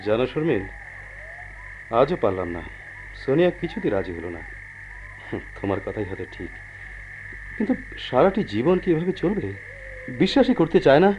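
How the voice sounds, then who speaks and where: Radio play husband, male actor, earnest and soothing, warm dark tone, muffled old recording. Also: medium pitch, sing-song, close to an everyday voice. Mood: troubled, pleading. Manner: earnest, placating, measured. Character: calm, pragmatic husband placating his unhappy wife. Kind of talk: Bengali radio play acting. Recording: dull, noisy old radio drama recording.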